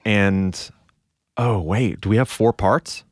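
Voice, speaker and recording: Low voiced podcast host guy, chatty, dry mic. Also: takes it slowly, no room sound. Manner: organizing, chatty. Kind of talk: casual chat.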